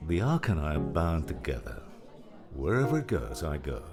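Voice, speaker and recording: A man voicing a gruff, laid-back older man with a gravelly voice and a teasing, fatherly manner in an audiobook. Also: extremely low pitch, wildly swooping pitch, normal speed, loud music behind the voice, pitched below the performer's own voice, heavily acted. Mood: explaining. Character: gruff, casual older grey-haired man, wry and fatherly. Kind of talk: audiobook character dialogue.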